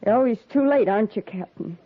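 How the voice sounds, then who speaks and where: An actress playing a bitter, defiant frontier widow in a 1950s radio western, scornful and sardonic with rustic speech. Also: female voice, medium pitch, expressive, quick pace, lightly acted. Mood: bitter, mocking. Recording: tinny, narrow 1950s radio recording.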